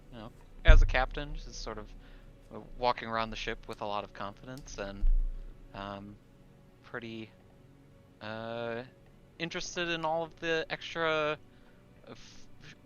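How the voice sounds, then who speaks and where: A man playing a tabletop roleplaying game, describing his character casually in a hesitant, halting way. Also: mid-range voice, bright, forceful tone, muffled recording. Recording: music behind the voice. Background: background music.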